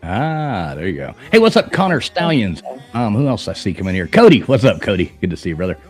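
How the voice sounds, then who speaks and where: A man's voice: on the low side, sing-song, a little fast, faint music behind. A man co-hosting a live-stream trivia night, chatting casually and greeting viewers by name. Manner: laid-back, greets chat by name. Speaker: stream co-host.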